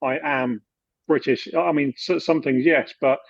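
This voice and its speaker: Relaxed British man on a dull line. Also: mid-range voice, measured pace, vocal fry, no echo. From United Kingdom. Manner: relaxed, with frequent fillers and restarts. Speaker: guest, expat finance expert. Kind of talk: casual interview conversation.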